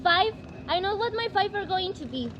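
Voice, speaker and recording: An eager, dreamy young girl played by a woman in a stage reading. Her voice is high and chatty, recorded over a clear rumble of background noise. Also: animated, normal speed. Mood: excited, eager. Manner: eager, exclaiming. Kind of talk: amateur stage dramatic reading.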